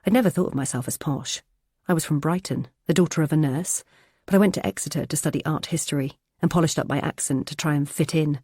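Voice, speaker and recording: Female audiobook narrator, low and dark, measured delivery, dry close sound. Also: breathy edge, no echo. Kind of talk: first-person thriller narration.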